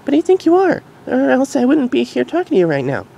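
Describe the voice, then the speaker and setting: Man putting on a very high-pitched little unicorn filly. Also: huge pitch swings, fast talker, vocal fry, warm tone, exaggerated voice. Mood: gleeful, insistent. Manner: gleeful, pleading, exclaiming. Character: excitable six-year-old unicorn filly, sweet and eager.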